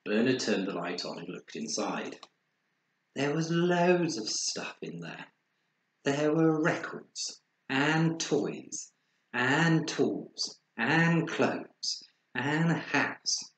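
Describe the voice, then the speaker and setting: A man reading a children's story aloud expressively, with dramatic pauses and acted sound words. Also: medium pitch, big pitch swings, a bit bright. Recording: roomy echo, faint background noise.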